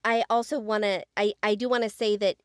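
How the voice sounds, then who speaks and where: A woman's voice: medium pitch, little pitch movement, fairly quick, dry recording, clean studio sound. An American woman co-hosting a podcast about voice acting, speaking earnestly and with emphasis. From United States. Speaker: podcast co-host, voice actor. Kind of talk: casual chat.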